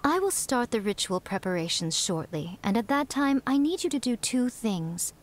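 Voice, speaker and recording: An actress playing a playful, smug fox shrine maiden in a video game, teasing and poised. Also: female voice, fairly high pitch, sing-song, normal speed, a little hiss or hum. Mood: explaining calmly. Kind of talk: video game character dialogue. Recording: game music behind.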